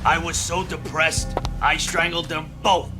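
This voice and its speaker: An actor playing a swaggering mob hustler in a video game cutscene, telling a boastful, theatrical story. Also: male voice, on the high side, little pitch movement, a little slow, over music, natural voice.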